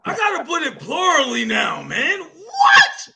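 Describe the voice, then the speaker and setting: A man in a casual sports debate, loud, exclamatory and indignant. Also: extremely high pitch, wildly swooping pitch, a little slow, gravelly.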